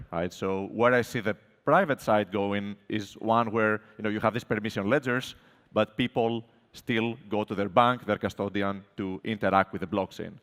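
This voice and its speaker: A man's voice: on the low side, a bit bright, big-room sound. A man from Greece speaking as a panelist, explaining his points at length and often checking in with "right?". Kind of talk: panel discussion.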